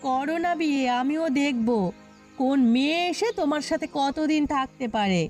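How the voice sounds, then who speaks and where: High-pitched scolding village wife played by a woman. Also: moderate pace, a little mellow, soft background music, natural voice. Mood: indignant. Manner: scolding, accusatory. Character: sharp-tongued quarrelsome village wife, suspicious and indignant. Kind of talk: cartoon dialogue acting.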